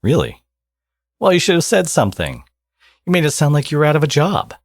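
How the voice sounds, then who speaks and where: A man playing a smug, teasing villain with a secretly caring side in an ASMR audio roleplay, speaking casually and sardonically with a husky voice. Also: a little high, wildly swooping pitch, brisk, no echo, exaggerated voice. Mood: smug, coaxing.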